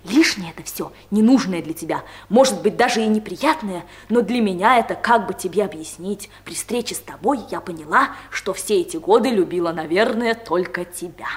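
A woman's voice: medium pitch, lively intonation, moderate pace, few pauses, natural voice. An actress playing a gossipy, mocking female office secretary with a knowing, teasing manner, in a Soviet radio comedy. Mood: mocking read-aloud.

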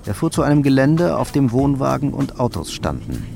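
A man's voice: medium pitch, big pitch swings, fairly quick, gravelly, darkish tone. A man narrating a crime radio play in a scripted, literary style. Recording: music under the voice. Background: music.

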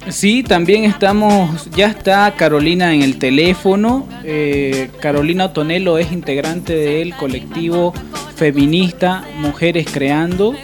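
A man's voice: fairly high pitch, unhurried. A man co-hosting a live radio show, introducing a caller in a conversational presenting style. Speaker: radio co-host. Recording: faint music under the voice. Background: faint music.

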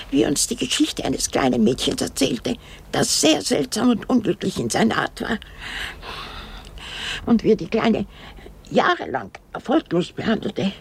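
An actress playing an elderly, haunted woman in a radio drama, rambling and brooding with halting, repetitive speech. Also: on the high side, exaggerated voice. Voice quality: husky, breathy, creaky.

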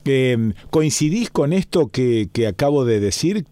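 A man's voice: mid-range voice, big pitch swings, a little slow, clean tone, no echo. A man in his seventies from Rosario with a Rosario Argentine accent, interviewing a guest and murmuring attentive replies. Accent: Rosario Argentine Spanish. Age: 70s. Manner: attentive, constant soft backchannels. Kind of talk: conversational interview.

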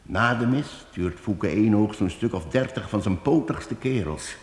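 A man voices a shrewd wandering minstrel in a radio play, laying out a plan in a measured, steady way. His voice sits very low. It sounds roomy, with a long reverb. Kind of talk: radio drama acting.

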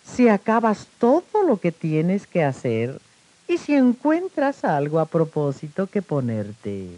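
A woman playing a cold, sly middle-aged stepmother, measured and condescending, on an old children's story record. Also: lowish voice, wildly swooping pitch, mellow, no room sound, heavily acted. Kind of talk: 1950s children's record acting.

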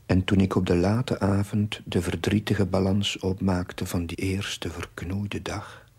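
An actor playing an earnest, troubled young chaplain who narrates his diary in formal, measured phrases with a husky, breathy voice in a television drama. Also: low-pitched, level tone, a little mellow, exaggerated voice. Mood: solemn, reflective. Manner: measured, solemn, formal literary diction. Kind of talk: scripted dramatic voice-over narration.

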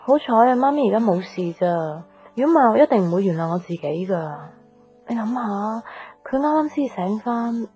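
An actress playing an earnest, emotional young woman in a radio drama, speaking softly with a Hong Kong Cantonese accent. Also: fairly high pitch, animated, normal speed, close to an everyday voice. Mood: flustered, guilty. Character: earnest young woman torn between love and her mother. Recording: music bed behind the voice.

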